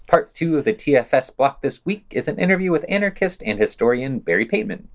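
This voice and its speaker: A man's voice: medium pitch, very animated, fast. A man reading scripted podcast narration as host, with a wry, opinionated manner.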